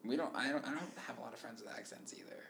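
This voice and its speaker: A man's voice: medium pitch, steady tone, very fast, a bit bright, roomy sound. A man from Indiana with a slight twang, hosting a casual podcast chat, joking and laughing easily. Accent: Indiana Midwestern twang. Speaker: podcast host.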